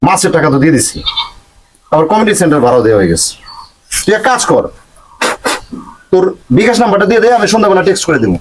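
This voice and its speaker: An actor plays a showy, put-upon big spender with a Bangladeshi accent. His voice sits high for a man and moves about animatedly as he complains in comic-drama dialogue. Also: very animated, fast, pauses often, husky, heavily acted, rough recording. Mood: breezy, evasive. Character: put-upon spender boasting and moaning about festival expenses.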